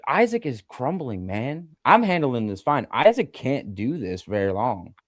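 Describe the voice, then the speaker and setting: A man's voice: mid-range voice, big pitch swings, a little slow, no room sound, studio quality. A man arguing as a guest on a stream, defensive and insistent. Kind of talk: heated livestream argument.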